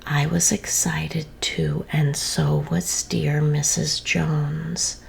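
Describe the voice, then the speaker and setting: A woman reading a children's story aloud in a soft, gentle whisper. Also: low voice, level tone, drawn-out words, gravelly, a little hiss or hum.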